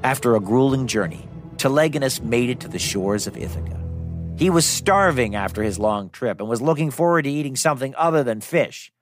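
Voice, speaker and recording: Male narrator for kids' myths. Expressive, swooping delivery, conversational drama, music bed. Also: medium pitch, a little fast. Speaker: storyteller, podcast host. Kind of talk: lively scripted mythology narration for children. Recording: dry studio sound with music behind.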